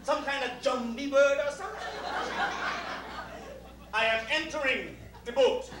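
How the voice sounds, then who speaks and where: An actor with a Caribbean accent playing a proud, sardonic servant who bosses like a director in a stage comedy, mock-polite and emphatic. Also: male voice, extremely high pitch, a little slow, a crowd in the background. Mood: mock indignant. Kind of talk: live satirical stage comedy acting. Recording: echoey far-off stage recording, humming noise.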